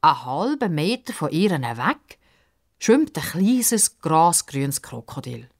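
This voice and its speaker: Female storyteller, Swiss accent, slightly low register, expressive reading for children. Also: slow pace.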